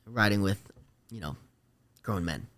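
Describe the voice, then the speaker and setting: A young man chatting casually as a podcast guest, easygoing and trailing off softly at the ends of his thoughts. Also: on the low side, measured pace. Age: young adult. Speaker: podcast guest, rodeo rider. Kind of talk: casual interview chat.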